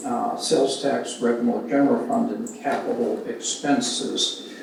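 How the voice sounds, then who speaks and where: An older man giving public comment at a city council hearing, halting and full of fillers as he reads out budget figures. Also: mid-range voice, creaky, a little mellow. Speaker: citizen speaking at public hearing. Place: city council chamber, large room. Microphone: podium mic from far camera. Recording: echoey hall, distant, noisy.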